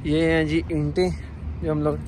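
A man's voice: fairly high pitch, little pitch movement, normal speed, a little mellow. A young man with a Pakistani Punjabi accent, talking casually to camera as a vlogger. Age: young adult. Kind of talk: casual vlog talk to camera. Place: outdoors by roads, brick yards and shops. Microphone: phone at arm's length. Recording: clear outdoor traffic and ambient noise.

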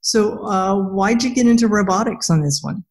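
A woman's voice: mid-range voice, expressive, moderate pace, a little mellow. A middle-aged woman hosting a podcast, asking questions in a casual, conversational way. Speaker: podcast host, interviewer. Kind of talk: casual interview chat. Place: indoor room with plain wall.

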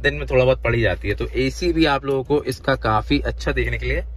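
A man's voice: medium pitch, quick pace. A man hosting a casual car walkaround vlog, chatty and informal, asking questions as he goes. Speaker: car vlogger, host. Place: open-air used car lot, partly inside car. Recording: outdoor ambient noise.

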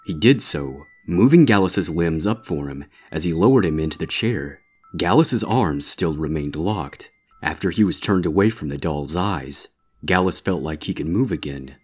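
A man's voice: deep voice, expressive, normal speed, husky, soft background music. A man reading a scripted horror story aloud as its narrator.

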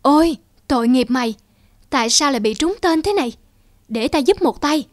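A woman voicing a kind, gentle young man in a cartoon fairy tale, speaking tenderly. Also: high-pitched, animated, normal speed, no echo, studio quality. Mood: tender concern. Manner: tender, caring. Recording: music behind the voice.

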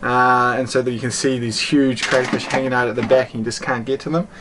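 A man in his thirties chats casually to camera with a medium-pitched voice. He is recorded a short way from the mic on a boat, with some noise behind him. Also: fairly even intonation, a little fast. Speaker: sailing vlogger. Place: small cabin and deck of a sailboat at sea. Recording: clear boat and outdoor background noise.

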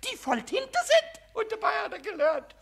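Impish little male kobold: very high, childlike, wildly animated, stammering with mischief, children's audio drama. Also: moderate pace, full character voice. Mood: gleeful, boastful. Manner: excited stammering, repeats words. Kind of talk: German children's radio play.

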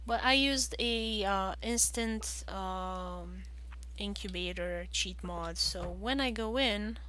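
A woman's voice: mid-range voice, slow pace, sharp tone. A woman giving casual game walkthrough commentary, thinking aloud in a hesitant way. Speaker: gaming video host.

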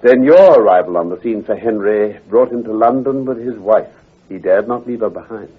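An actor playing an assured, precise gentleman detective who explains with authority in a radio drama. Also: on the low side, moderate pace, breathy edge. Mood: precise, measured. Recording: tinny, hazy old radio recording.

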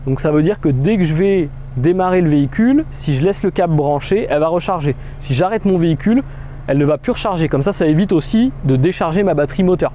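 A man in his thirties talks through a product demo outdoors, chatty and explanatory, filling pauses as he goes. His voice sits fairly high but sounds mellow. Faint outdoor noise hangs behind him. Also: lively intonation. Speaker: product demonstrator. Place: outdoor car lot beside a car.

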